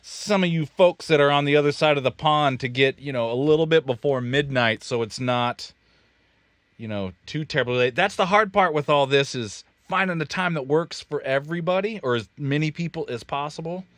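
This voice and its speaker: A man's voice: on the high side, moderate pace, fairly bright tone, dry recording. A man from Kansas, hosting a casual studio livestream and explaining his drum miking in a rambling, self-correcting, joking way. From Kansas, USA. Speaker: recording studio owner and livestream host. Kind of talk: casual technical livestream chat. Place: recording studio control room.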